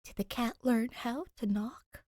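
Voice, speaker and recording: Woman voicing a high, indignant, skittish young girl. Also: measured pace, darkish tone, no echo, studio quality. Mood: stubborn, wary. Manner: indignant, emphatic, argumentative. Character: skittish, stubborn young girl afraid of cats. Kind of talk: audiobook character voice, fan fiction reading.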